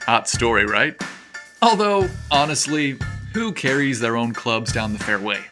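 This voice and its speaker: A man hosting and narrating stories in a playful, expressive, teasing way. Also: mid-range voice, unhurried, over music. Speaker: podcast host and narrator. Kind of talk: scripted story narration with playful chatty asides.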